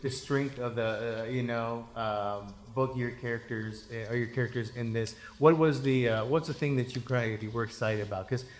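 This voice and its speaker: A man interviewing a group casually, asking rambling questions in a halting way, recorded in a roomy space. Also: mid-range voice.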